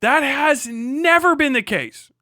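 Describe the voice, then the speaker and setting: A man's voice: very high voice, expressive, slow, bright, forceful tone, no room sound, clean studio sound. A man hosting a live stream in a casual, rambling, slangy and profane way, with some showy announcer-like address to viewers. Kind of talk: casual rambling livestream commentary.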